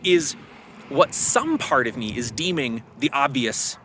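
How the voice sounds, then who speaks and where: Animated bright-voiced man talking reflectively. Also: medium pitch, unhurried, a little hiss or hum. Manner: animated, acts out inner voices while explaining. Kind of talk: casual reflective conversation.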